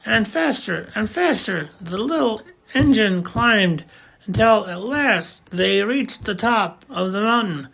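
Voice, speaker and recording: A man reading a children's picture book aloud as an amateur audiobook, slow and deliberate. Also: high-pitched, animated, creaky, fairly bright tone, poor audio. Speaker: audiobook reader.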